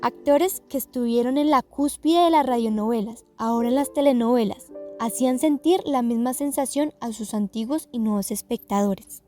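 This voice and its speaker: A woman with a Colombian accent narrates a documentary from a script. Her voice is quite high, and soft music plays underneath. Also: normal speed, hardly any pauses.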